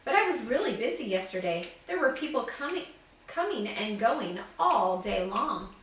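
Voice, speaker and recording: A woman's voice: a little high, sing-song, normal speed, a bit bright. A woman voicing a good-natured young male student assistant in a storybook, friendly and easygoing. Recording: echoey room, distant mic, background noise.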